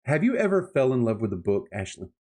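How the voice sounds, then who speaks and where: A man's voice: medium pitch, very animated, quick pace, a little mellow. A man chatting casually and emphatically about books as a podcast host, with enthusiastic, colloquial delivery. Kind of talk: casual book-review chat.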